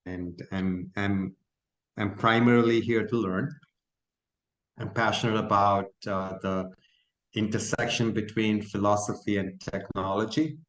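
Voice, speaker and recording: A man's voice: on the low side, fairly even intonation, a little slow, a bit bright. A man whose first language is Malayalam, from south India, speaking earnestly and reflectively with frequent fillers as a guest on a video call. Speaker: guest participant. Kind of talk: casual talk at an online meeting. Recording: roomy echo on a call.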